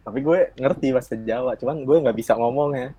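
A man's voice: mid-range voice, fast, warm tone, breathy edge, lo-fi sound. A man with a Betawi Jakarta accent chatting casually and slangily on a podcast.

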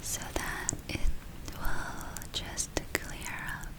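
Woman whispering very slowly, ASMR witch. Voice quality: breathy whisper. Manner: slow, soothing, halting with long pauses. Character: gentle, soothing witch giving a magic spa treatment. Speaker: ASMR roleplayer playing a witch healer. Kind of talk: ASMR roleplay.

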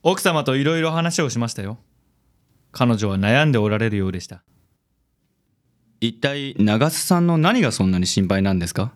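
A man's voice: medium pitch, lively intonation, moderate pace, long pauses, lightly acted. Self-admiring male investigator acted by a man, medium register, suave and theatrical, for radio drama. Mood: earnest, reporting. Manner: smooth, theatrical self-assurance.

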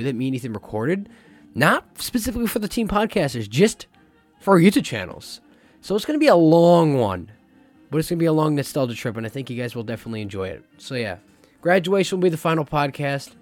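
A young adult man hosting his own podcast, talking in a rambling, confessional way, his voice a little hoarse as it recovers. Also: on the high side. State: slightly hoarse, recovering lost voice. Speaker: podcast host. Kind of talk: casual solo podcast talk. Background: faint jazz music.

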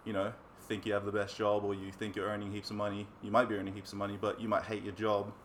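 A man's voice: on the low side, fast, a little hiss or hum. A man, chatting easily as an interview guest and coach, with frequent ums. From New Zealand. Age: 20s. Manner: easygoing, many ums, relaxed laughs. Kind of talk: casual interview chat.

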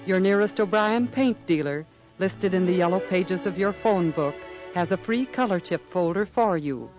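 An American man announces a 1950s radio commercial. His voice is high for a male, polished and salesmanlike, with faint music behind it. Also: normal speed, highs cut off. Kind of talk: 1950s radio advertisement, scripted.

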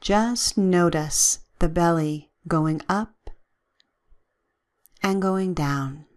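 Very slow, lowish-voiced woman guiding meditation. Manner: gentle, soothing, measured instructions with long pauses. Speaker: guided relaxation instructor.

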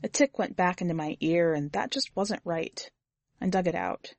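A woman reading scripted first-person fiction as a narrator, with measured, grave, deliberate phrasing. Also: fairly low pitch, gravelly, no room sound. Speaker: podcast editor and story narrator.

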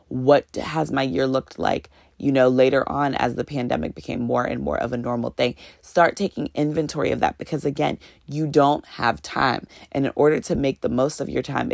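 A woman's voice: rumbling low, creaky, a little mellow, dry recording, studio quality. A woman in her late twenties hosting a solo motivational podcast, talking straight to her listeners as family in an upbeat, preachy way. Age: 20s. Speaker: podcast host and business consultant.

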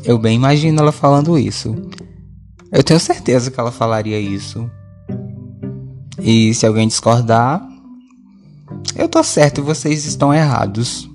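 A man's voice: medium pitch, normal speed, long pauses, a little mellow. A Brazilian man home alone, talking into his solo audio diary with music playing behind him, wandering and correcting himself like a late-night podcast confession. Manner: rambling, conversational, self-correcting. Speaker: podcast host.

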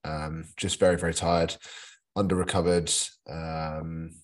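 A man with a low-pitched voice, co-hosting a casual podcast. His conversational delivery is understated, with a dry sense of humour. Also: little pitch movement, measured pace, a little mellow. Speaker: podcast co-host.

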